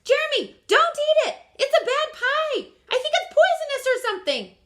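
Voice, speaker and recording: A woman voicing an earnest, excitable young boy from a picture book, speaking emphatically. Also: very high-pitched, normal speed, voice pushed up, heavily acted. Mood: panicked.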